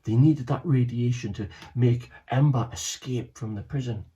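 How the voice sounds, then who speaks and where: A man reading his own children's book aloud, lively and animated, with asides to listeners. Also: mid-range voice, normal speed, muffled recording.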